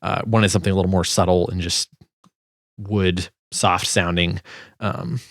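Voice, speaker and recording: A man with a fairly low voice hosts a sound design walkthrough. He talks informally and a little haltingly, as if thinking aloud. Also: steady tone, gravelly, dry recording, studio quality. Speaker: sound designer and video host. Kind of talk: casual tutorial walkthrough.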